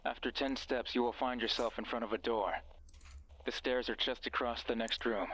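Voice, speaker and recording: An actor playing a sinister, manipulative mysterious voice on a radio, bitter and commanding, narrating a horror game in the second person. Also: male voice, mid-range voice, steady tone, normal speed, fairly bright tone, slight background noise, natural voice, low-quality recording. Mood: coldly commanding.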